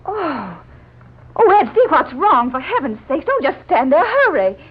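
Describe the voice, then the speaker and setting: An actress playing an excitable, caring young lady friend with a gushing, expressive delivery in a 1940s radio drama. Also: high-pitched, measured pace. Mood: alarmed. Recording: muffled, noisy 1940s radio recording.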